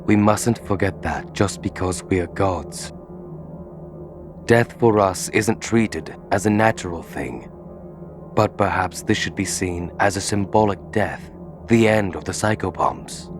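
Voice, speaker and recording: Jackal god of death played by a man, deep pitch, soft-spoken, solemn, measured, audio drama, light music. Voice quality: soft, almost sweet. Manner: measured, philosophical. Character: hulking smoke-faced jackal death god, gentle and solemn.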